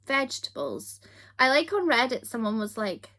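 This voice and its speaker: A young Scottish woman with a softened accent, chatting casually to camera in a self-deprecating, giggly way. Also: fairly high pitch, big pitch swings, normal speed. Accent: Scottish, softened toward standard British. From Scotland. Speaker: YouTuber.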